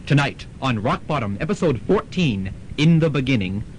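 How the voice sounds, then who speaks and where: A man's voice: mid-range voice, big pitch swings, moderate pace. A man narrating a radio serial in a theatrical announcer style. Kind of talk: dramatic scripted serial narration. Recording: slightly noisy student radio recording.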